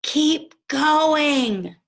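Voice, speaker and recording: A woman's voice: sits high, lively intonation, extremely slow, vocal fry, pitched above the performer's own voice, full character voice. A woman voicing an anxious, bossy young boy who gives urgent, emphatic commands in a storybook reading. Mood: urgent, commanding.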